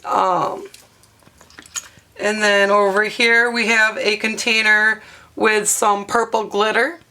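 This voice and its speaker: A woman with a hoarse, half-lost voice, chatting through a craft tutorial at her table and showing items with plenty of ums and uhs. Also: medium pitch, little pitch movement, takes it slowly, sharp tone. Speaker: craft vlogger. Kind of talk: casual show-and-tell craft tutorial.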